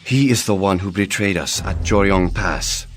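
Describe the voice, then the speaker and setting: An actor playing a stern, authoritative Korean prime minister in a dramatic video game cutscene. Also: male voice, deep voice, moderate pace. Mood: grave. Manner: authoritative, formal, solemn. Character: stern, commanding Korean prime minister of war-torn kingdom. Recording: game audio with music underneath.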